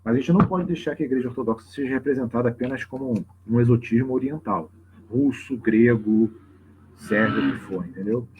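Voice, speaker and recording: A man with a husky voice and a Brazilian Portuguese accent, explaining things informally and off the cuff to a livestream audience, often checking in with tag questions. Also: medium pitch, brisk, darkish tone, a little hiss or hum. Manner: conversational, frequent tag questions. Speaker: religious teacher hosting a live open class. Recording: slightly dull lo-fi livestream audio.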